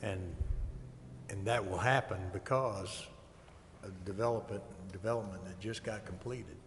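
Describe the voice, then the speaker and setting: Lowish male voice, informal meeting discussion, long reverb, faint background noise. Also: unhurried. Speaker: council meeting participant. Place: council chamber.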